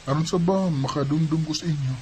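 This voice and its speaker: A man's voice: fairly high pitch, quick pace, a little mellow, lightly acted. Man acting smitten young Bisaya student, noisy ambience. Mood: coaxing. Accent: Bisaya Filipino. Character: eager, smitten young male student courting his friend. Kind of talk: Bisaya radio romance drama acting.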